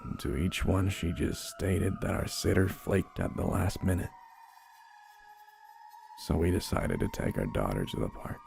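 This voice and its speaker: A man's voice: sits low, sing-song, vocal fry. A man narrating a first-person horror story in a somber, dramatic manner. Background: slow dark music.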